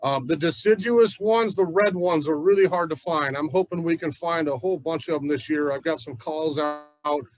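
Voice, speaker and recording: A man's voice: fairly high pitch, normal speed, hardly any pauses, low-quality recording. A man from the Pacific Northwest giving a chatty, folksy gardening class at a plant nursery. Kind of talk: casual gardening class talk.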